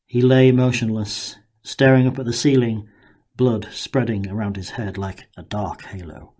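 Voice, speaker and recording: A man narrating dramatic fiction for an audiobook in a measured, deliberate way. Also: on the low side, steady tone, mellow.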